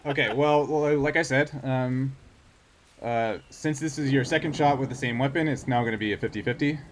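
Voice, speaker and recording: Male game master, mid-range voice, casual halting narration and rules talk, faint noise behind. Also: mid-range voice.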